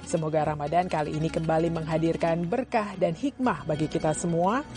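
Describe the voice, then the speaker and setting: A woman's voice: low voice, brisk, over music. A woman delivering a formal, scripted broadcast greeting as the head of a service.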